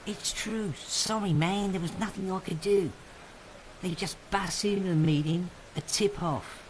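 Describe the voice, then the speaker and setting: A man's voice: high voice, slight background noise, poor audio. An actor playing a loyal male friend who urgently warns a couple to flee, in an amateur radio drama. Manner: urgent, hurried warnings.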